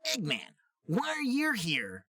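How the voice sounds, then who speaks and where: A man's voice: high-pitched, wildly swooping pitch, slow pace, slightly rough, voice pushed up, heavily acted. A man voicing a dramatic, sulky young cartoon hedgehog hero in an overwrought way for a comic reading. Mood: defiant, alarmed. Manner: overwrought, shouty, melodramatic. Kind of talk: parody fan-fiction character reading.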